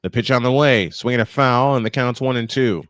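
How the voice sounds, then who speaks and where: A man's voice: medium pitch, brisk, a bit bright, dry recording. A man doing live baseball play-by-play, folksy and chatty as he banters with co-hosts. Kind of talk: live sports commentary with casual banter.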